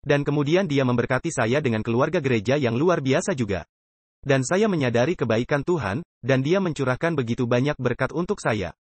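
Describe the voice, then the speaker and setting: A man's voice: medium pitch, animated, brisk, dry recording. Earnest male preacher, dry close sound. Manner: earnest, emphatic, with rhetorical questions to listeners. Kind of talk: dubbed sermon.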